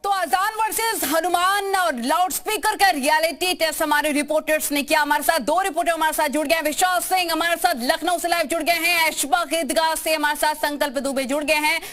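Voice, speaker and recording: Woman, news anchor, very high voice, live bulletin presenting. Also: fairly even intonation, a little fast, few pauses, a bit bright, soft background music.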